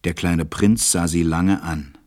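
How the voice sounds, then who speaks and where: A man playing a reflective storyteller who confides in children, narrating a radio play in a measured way. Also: deep voice, fairly even intonation, darkish tone, dry recording, studio quality.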